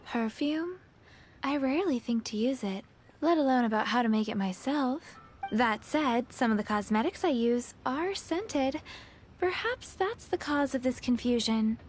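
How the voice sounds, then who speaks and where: A woman's voice: high-pitched, lively intonation, measured pace, slight background noise. A woman playing a polite, wry young fortune teller in a fantasy video game.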